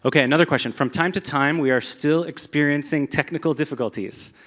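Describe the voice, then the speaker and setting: A man's voice: a little high, fast, a bit bright. A man giving a live presentation to an audience, talking in an upbeat, conversational way with some fillers. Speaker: presenter at a company meetup. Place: large echoey hall with a live audience. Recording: long hall reverb.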